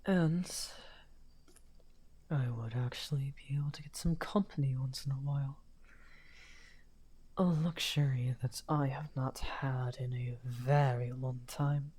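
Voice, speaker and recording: A man playing a lonely, misunderstood evil wizard in an ASMR roleplay, speaking softly and courteously in a breathy, whispery, halting voice. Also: medium pitch, steady tone. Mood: hopeful, coaxing. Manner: slow, halting, musing.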